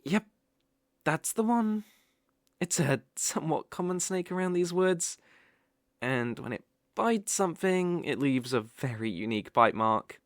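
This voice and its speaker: A British man playing a sly, possessive young male kitsune fox spirit in an ASMR roleplay, soft-spoken and coaxing with playful hesitations. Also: on the high side, animated, measured pace, no room sound, studio quality. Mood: gentle, inquiring. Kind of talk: ASMR roleplay, acted directly to the listener. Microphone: close microphone, dry.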